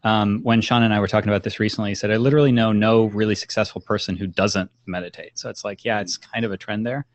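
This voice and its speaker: A man's voice: lowish voice, fairly even intonation, fast, no room sound. A man hosting a podcast in a casual, informal and rambling chat style. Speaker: podcast host.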